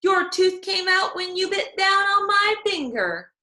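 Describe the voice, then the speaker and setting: Woman reading as kindly, coaxing grandpa, animated pitch. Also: a little slow, reverberant, full character voice. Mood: defensive. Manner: coaxing, gently reassuring.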